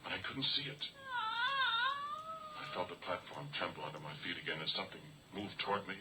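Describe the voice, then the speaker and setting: An actor playing a haunted, frightened oil driller who tells his horror tale in a halting, intense 1940s radio style, in an old radio drama recording. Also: deep voice, steady tone, background noise, natural voice. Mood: fearful, tense. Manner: halting, intense, swings from hushed whispers to shouts. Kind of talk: 1940s radio horror acting, dramatic first-person monologue.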